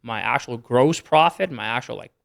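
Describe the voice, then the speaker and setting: A man's voice: medium pitch, animated, normal speed, vocal fry. A young man from Canada, a podcast guest talking casually and matter-of-factly about his business numbers. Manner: matter-of-fact, rattles off numbers. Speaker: guest, entrepreneur. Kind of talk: casual interview chat.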